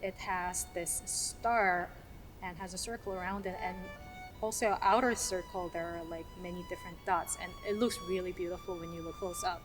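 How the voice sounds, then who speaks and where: A woman's voice: fairly low pitch, steady tone, moderate pace, rough recording. Woman musician from South Korea over music. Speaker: orchestral violinist. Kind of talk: casual scripted-outline self-introduction to camera.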